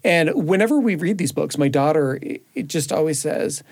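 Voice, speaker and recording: A man's voice: a little high, lively intonation, normal speed, creaky, darkish tone. A man, a radio producer, chatting casually on air.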